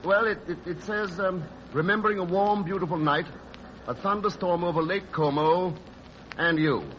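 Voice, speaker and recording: A man's voice: high-pitched, moderate pace, a bit bright. A man playing a suave, flirtatious playboy in a 1940s radio romantic comedy, polite and hesitant in his charm. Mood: polite, flustered. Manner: hesitant, polite, smooth-talking. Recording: muffled, noisy old radio broadcast.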